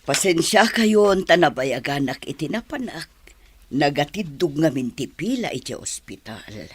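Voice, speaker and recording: A woman's voice: deep voice, sing-song, normal speed, a little hiss or hum. An actress playing an emotional, kindly woman schoolteacher in a radio drama, with a Filipino accent. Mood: apologetic, reassuring.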